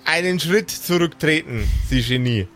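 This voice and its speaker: A man's voice: on the high side, lively intonation, moderate pace, fairly bright tone, soft background music. Man playing a stern, officious, condescending policeman. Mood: stern, reprimanding. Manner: formal, condescending. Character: stern, officious policeman scolding young troublemakers.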